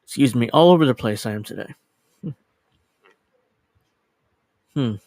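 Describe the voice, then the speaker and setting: A man's voice: medium pitch, expressive, a little slow, darkish tone, no echo. A man in his thirties chatting casually as a livestream host, rambling and scattered, self-deprecating and casually profane. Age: 30s. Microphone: dedicated microphone.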